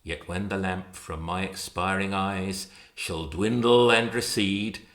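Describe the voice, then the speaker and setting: A man reading poetry aloud in a measured, expressive, declamatory manner. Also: low-pitched. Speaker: poetry reader. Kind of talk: introduced dramatic poetry recitation.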